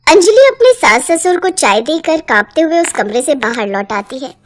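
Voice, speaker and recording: A woman's voice: sits high, sing-song, normal speed. A woman narrating a scripted family story as a storyteller.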